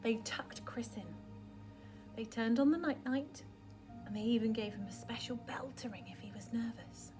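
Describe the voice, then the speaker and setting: High-pitched woman reading a children's storybook. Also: moderate pace, music in the background. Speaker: primary school teacher reading a picture book aloud.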